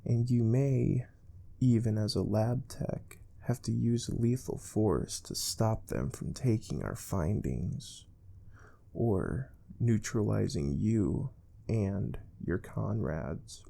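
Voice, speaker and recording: A man playing a calm, stern space research interviewer in a soft-spoken ASMR role play, measured and formal. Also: lowish voice, gravelly, full character voice. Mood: calm, grave.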